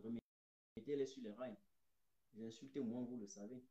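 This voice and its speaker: A man's voice: mid-range voice, fairly even intonation, moderate pace, dark tone, muffled recording. A man from Cameroon, hosting a political live stream, emphatic and repeating his points straight to viewers. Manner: emphatic, repeats phrases, addresses viewers directly. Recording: roomy echo.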